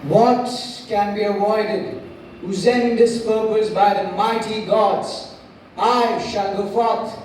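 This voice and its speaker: Casting: young man for lofty male Roman ruler in stage tragedy, deliberate, heard from a distance with faint noise. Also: high-pitched, fairly even intonation, a little mellow, heavy reverb. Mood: resolute. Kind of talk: school stage play declamation.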